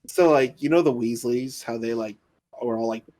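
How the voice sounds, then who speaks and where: A man's voice: mid-range voice, moderate pace, dark tone. Male co-host, loose and jokey, laughs a lot, ordinary mid voice. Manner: laughs often, loose and joking. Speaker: podcast co-host. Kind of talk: casual chat.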